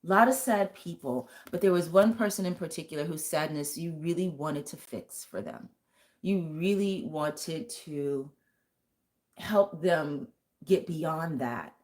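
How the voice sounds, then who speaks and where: A woman with a thick, lower voice giving a live tarot reading and talking straight to her viewers with earnest, intuitive warmth. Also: expressive, measured pace. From United States. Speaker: tarot reader and vocal coach.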